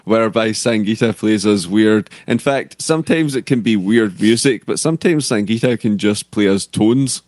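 A man's voice: on the low side, normal speed, hardly any pauses, a little mellow, dry recording. A male radio co-host in casual banter with dry, absurdist joking.

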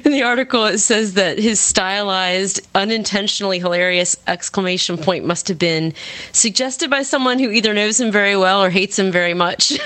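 A woman's voice: mid-range voice, normal speed, a bit bright, no room sound. A woman co-hosting a podcast, summarizing a news article in casual chat and laughing between phrases. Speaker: podcast co-host.

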